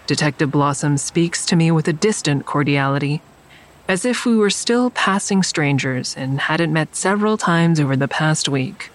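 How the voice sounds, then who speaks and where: A woman's voice: deep voice, expressive, moderate pace. An actress playing a weary, watchful woman who narrates her own story in an audio drama. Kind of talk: scripted first-person audio drama narration.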